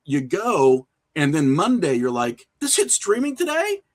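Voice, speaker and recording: A middle-aged man chatting casually as a podcast guest, telling animated stories and acting out quoted remarks. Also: a little high, unhurried, crowd noise. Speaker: podcast guest, filmmaker and horror creator.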